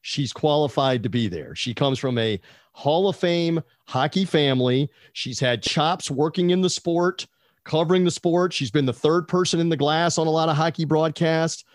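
A man's voice: on the high side, moderate pace, dry recording, studio quality. A man hosting a casual sports talk show, making his points emphatically and repeating them for stress. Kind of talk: casual podcast debate.

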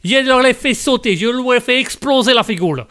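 Guy doing a hotheaded sicilian, fake italian accent, loud and high, swearing. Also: moderate pace. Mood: furious. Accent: mock Italian. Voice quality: shouty, explosive, swears in Italian. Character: hot-tempered loud Sicilian man.

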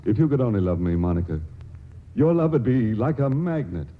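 A man's voice: medium pitch, sing-song, fairly quick, close to an everyday voice. An actor playing an earnest, shy male chemist in love, talking hesitantly and stammering in 1940s radio drama style. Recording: muffled old radio broadcast with faint hiss.

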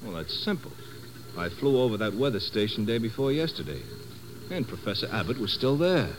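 An actor playing a folksy, plain-spoken bush pilot in an old radio drama, informal and breezy. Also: male voice, fairly low pitch, sing-song, brisk. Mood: easygoing. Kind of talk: 1950s radio drama acting. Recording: very noisy old radio recording.

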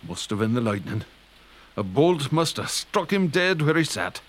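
A man's voice: medium pitch, normal speed. A male actor playing a folksy, superstitious Irish policeman in an old-style radio drama, with an Irish accent. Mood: shocked. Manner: colorful, animated, folksy. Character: earthy, superstitious Irish beat policeman, talkative and deferential.

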